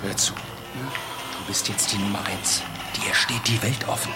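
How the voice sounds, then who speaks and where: A man's voice: fairly low pitch, unhurried, close to an everyday voice. An actor playing a grandiose, pushy male official in a German radio drama. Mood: grand, persuasive. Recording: echoey, loud music under voice.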